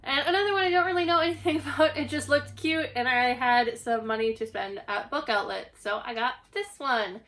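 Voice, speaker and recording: High-voiced hoarse young woman vlogger. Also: brisk, hardly any pauses, a bit bright. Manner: chatty, self-deprecating, rambling. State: hoarse, getting over a cough. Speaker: book channel host. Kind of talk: casual book haul vlog. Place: at home, indoors.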